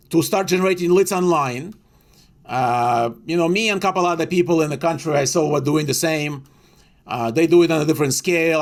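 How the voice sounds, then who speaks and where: A middle-aged man speaks English with a strong Ukrainian accent, his voice sitting a little high for a man. He tells his story as an interview guest in a loose, animated way, full of fillers and acted-out quotes of his own past thoughts. The audio comes from a video call.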